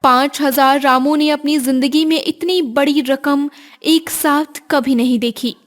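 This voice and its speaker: A woman's voice: sits high, fairly even intonation, a little slow. A woman narrating a scripted cartoon moral story in a storybook style. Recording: loud children's music under the voice.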